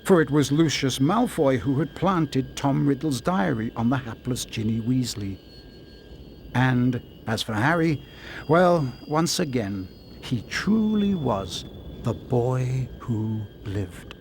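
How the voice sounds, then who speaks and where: A man narrating a scripted story in a measured, dramatic storybook manner. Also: mid-range voice, expressive, a little hiss or hum.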